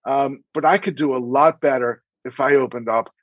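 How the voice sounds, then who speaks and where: A man's voice: mid-range voice, moderate pace, dry recording, dull audio. A middle-aged man speaking as a podcast guest, giving emphatic, conversational advice and acting out imagined dialogue. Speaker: podcast guest, dentist and practice coach. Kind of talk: animated conversational advice with anecdotes.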